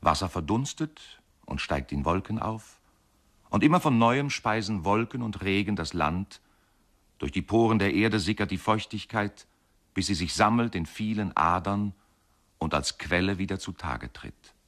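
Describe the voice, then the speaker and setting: Deep, slow, contemplative male narrator. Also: animated, a bit bright, exaggerated voice. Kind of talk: scripted lyrical radio narration.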